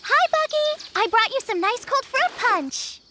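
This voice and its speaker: Very high, chirpy cartoon fox girl. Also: expressive, measured pace. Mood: cheerful. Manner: chirpy, casual, lightly wry. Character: sweet, friendly young female cartoon fox, breezy and unbothered.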